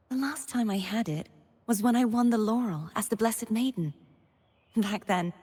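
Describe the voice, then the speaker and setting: A young woman playing a gentle, earnest, wistful maiden heroine in scripted video game lines, soft-spoken and breathy. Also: fairly high pitch. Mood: wistful, shy. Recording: long reverb on the voice.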